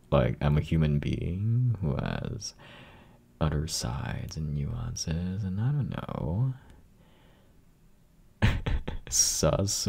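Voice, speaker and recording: A young man playing a clingy, dorky, doting boyfriend in an intimate ASMR roleplay, with a raspy voice and a soft, teasing manner. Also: rumbling low, exaggerated voice. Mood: playful teasing. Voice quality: raspy, gravelly. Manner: soft, breathy, teasing, slow and drawn out. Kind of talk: ASMR roleplay, intimate one-sided conversation.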